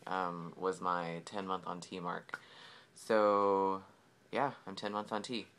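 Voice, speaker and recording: A man's voice: low-pitched, pushed and bright, smooth. A young man, talking casually in a video diary, rambling with lots of fillers and easy laughs. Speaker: vlogger.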